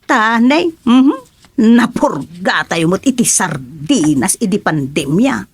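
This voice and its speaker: A woman playing an excitable village wife hoping to work abroad, with a husky voice and Ilocano accent, in a radio comedy drama. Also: mid-range voice, slow pace. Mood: nagging, hopeful.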